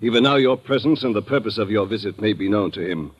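Serious colonel in old radio drama, husky lowish voice, very formal and careful. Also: male voice, animated, dull audio. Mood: grave, warning. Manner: formal, measured, deliberate. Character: grave, cautious male colonel giving warnings. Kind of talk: 1950s radio drama acting.

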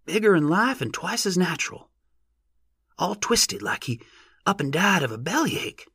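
A man's voice: high-pitched, sing-song, normal speed, creaky, pushed and bright, slightly rough, no room sound, studio quality, pitched above the performer's own voice, exaggerated voice. A man voicing a droll, awestruck rustic in an audiobook. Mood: hushed amazement. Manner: subdued, drawling exclamations. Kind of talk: audiobook character voice.

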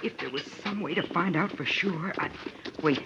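An actress playing an earnest, shrewd pioneer wife, in old radio western style. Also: female voice, low voice, normal speed, very noisy. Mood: confiding. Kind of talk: 1940s-50s western radio acting. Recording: dull old radio recording.

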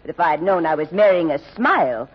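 A woman's voice: low-pitched, expressive, a little fast, husky, highs cut off. An actress playing a sour, grumbling, middle-aged widowed mother in old-time radio style, dry, caustic and declamatory. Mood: bitter.

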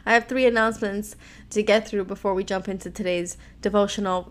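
Raspy American woman hosting a devotional. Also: medium pitch, fairly bright tone. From Miami, Florida, United States. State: hoarse from allergies. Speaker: devotional podcast host.

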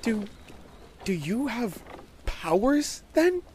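Storybook young girl, acted by a male narrator: raised pitch, curious and halting, with a stubborn defiant streak. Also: big pitch swings, mellow, a little hiss or hum. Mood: amazed, questioning.

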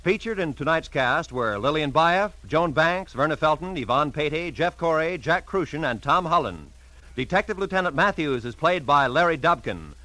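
A man's voice: fairly high pitch, expressive, normal speed, sharp tone, no room sound, rough recording. An American man reading scripted credits and promos as a polished, formal mid-century radio announcer.

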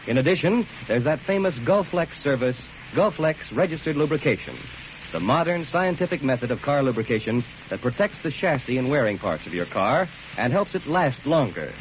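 A man's voice: mid-range voice, sing-song, normal speed. A man delivering a scripted 1940s radio commercial, addressing listeners in a folksy, persuasive way. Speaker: commercial announcer. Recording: muffled, faintly hissy old radio broadcast.

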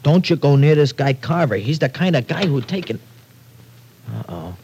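A man's voice: a little high, lively intonation, moderate pace, warm tone, highs cut off. A cocky young American street tough from a vintage radio crime play. He talks in slangy, hedging, broken grammar, pleading and evasive at once. Faint noise sits under the old recording. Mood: anxious, evasive. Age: young adult. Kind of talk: old-time radio crime drama acting.

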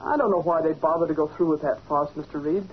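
A woman's voice: low-pitched, fast, lightly acted. A woman playing a spirited, outspoken young newspaper secretary, pert and opinionated, with an American accent in 1940s radio style. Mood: indignant. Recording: muffled vintage radio, faint hiss.

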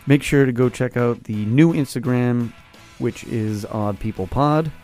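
A man hosts his podcast in a loose, upbeat way, and a cold leaves his mid-pitched voice raspy and husky. Faint music plays softly under his talk. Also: a little slow. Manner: relaxed, upbeat, loose with fillers. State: raspy, getting over a cold. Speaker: podcast host. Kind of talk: casual podcast interview.

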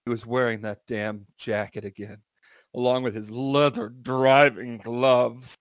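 Male American podcast host, mid-range pitch, comic read-aloud with exasperated asides, close dry sound. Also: fairly even intonation, measured pace, no echo. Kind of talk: comic dramatic reading with casual asides.

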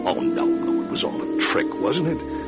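A man's voice: extremely high pitch, huge pitch swings, moderate pace, loud music behind the voice, heavily acted. An actor playing an anxious, defensive male political newcomer in a radio drama, hesitant and agitated. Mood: anguished, brooding. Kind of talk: radio drama acting with first-person narration. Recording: dull old radio recording.

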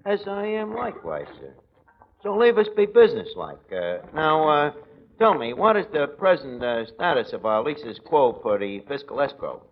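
An actor in an old radio comedy playing a scheming tavern manager with a New York accent, pompously mangling big words. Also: male voice, fairly high pitch, big pitch swings, normal speed. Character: scheming, malapropism-spouting male manager of a shabby tavern. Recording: noisy, dull old radio recording.

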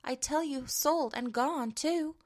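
A woman voicing an anxious young wife who talks in a high, eager, pleading way. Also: slow, darkish tone.

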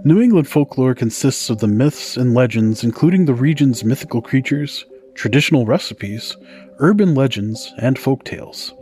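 A man's voice: mid-range voice, lively intonation, moderate pace, warm tone. A man hosting a podcast, narrating folktales from a script in a storytelling style. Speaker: podcast host. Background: music.

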